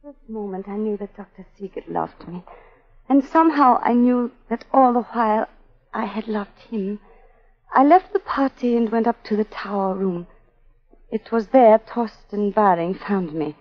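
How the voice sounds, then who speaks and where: An actress playing a guarded, troubled governess in a clipped, dramatic 1940s radio style. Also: female voice, mid-range voice, moderate pace, close to an everyday voice. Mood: reflective. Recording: dull 1940s radio broadcast, music behind.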